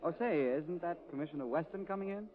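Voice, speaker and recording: A man's voice: on the high side, fast, lightly acted. A man playing a mild opera-goer, tinny. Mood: chatty. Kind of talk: 1940s radio drama acting. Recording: tinny old radio broadcast recording.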